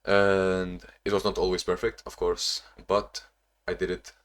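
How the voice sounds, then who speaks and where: A young man talking in a casual, reflective diary-style vlog, halting with frequent restarts and sounding a bit sleepy. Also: sits low, little pitch movement, a little hiss or hum. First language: not English; Portuguese not first language either. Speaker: vlogger.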